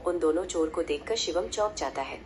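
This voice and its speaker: A woman's voice: lowish voice, brisk, background noise. A woman narrator reading a scripted cartoon story.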